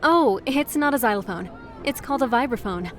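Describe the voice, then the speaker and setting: Woman as an easygoing festival musician, higher-pitched voice. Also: animated, a little fast, crowd noise, lightly acted. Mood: cheerful, easygoing. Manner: friendly, easygoing. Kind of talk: visual novel game dialogue. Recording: noisy outdoor street ambience behind voice. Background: urban outdoor ambience, traffic noise.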